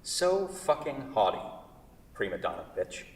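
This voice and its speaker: An actor playing an anguished, needy male woodworker in a theatrical monologue, halting and repeating himself obsessively. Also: mid-range voice, very animated. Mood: desperate, bitter. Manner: halting, repetitive, self-correcting dramatic delivery. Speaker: actor in a staged play reading. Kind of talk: theatrical monologue at a staged reading. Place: theatre, recorded from far off. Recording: echoey hall, distant microphone.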